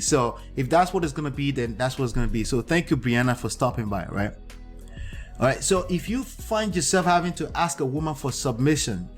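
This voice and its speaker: Nigerian-accented man lecturing on a livestream. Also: mid-range voice. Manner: lecturing, checks in with "okay?" and "right?". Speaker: livestream host. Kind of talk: livestream commentary.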